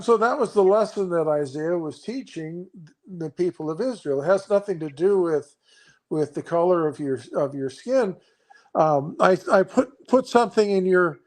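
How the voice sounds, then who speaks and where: A man explaining scripture in conversation, earnest and halting, often restarting his sentences. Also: a little high, a little mellow. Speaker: guest.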